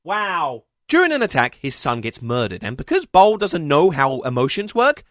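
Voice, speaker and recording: A man's voice: on the high side, huge pitch swings, normal speed, fairly bright tone, no room sound, clean studio sound. A man delivering a scripted comedic film critique as a voice-over, sarcastic, emphatic, exasperated and sweary. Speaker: video essayist, film reviewer.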